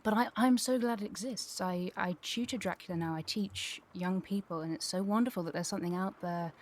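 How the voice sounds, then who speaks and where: A woman's voice: medium pitch, brisk. A woman talking enthusiastically and a little digressively in a conversational panel interview. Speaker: voice actor and tutor.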